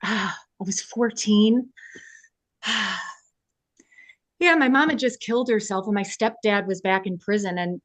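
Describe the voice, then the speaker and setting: A woman's voice: mid-range voice, a little slow, pauses often, dry recording, natural voice. A woman reading the part of a quirky, sincere young oversharer in a sitcom script, earnest and rambling. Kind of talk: sitcom script table read.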